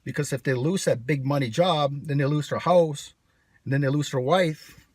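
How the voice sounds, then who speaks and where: Brash, husky-voiced man. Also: on the high side, normal speed, darkish tone, dry recording. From United States. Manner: brash, sarcastic, rambling. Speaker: channel host. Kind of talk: casual vlog monologue.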